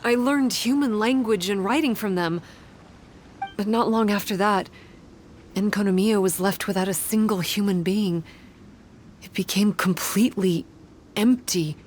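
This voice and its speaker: A woman voicing a young half-serpent outcast in a video game, solemn, wistful and guarded, with halting pauses. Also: mid-range voice, a little mellow, a little hiss or hum, natural voice. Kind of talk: dramatic video game acting.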